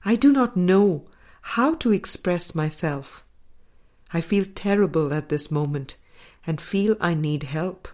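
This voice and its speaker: Female audiobook reader for spiritual text; lower register, very unhurried, deliberate phrasing. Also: a little mellow. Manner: measured, deliberate phrasing with pauses. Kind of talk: scripted spiritual book reading.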